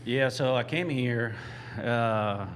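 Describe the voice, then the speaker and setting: A man from Venezuela with a Venezuelan Spanish accent, a native Spanish speaker and metal musician guest, speaking haltingly as he searches for words. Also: medium pitch, a crowd in the background.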